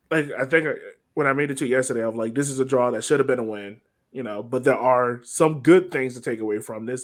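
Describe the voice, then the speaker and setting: A man co-hosting a soccer podcast in an informal, conversational way, chatting casually about the game. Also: mid-range voice. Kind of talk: casual sports chat.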